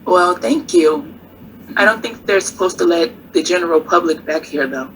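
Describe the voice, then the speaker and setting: A woman's voice: on the low side, animated, normal speed, a bit bright, a little hiss or hum, rough recording. An actress playing a breezy 1950s female Vegas performer. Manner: sweet, breezy, says honey.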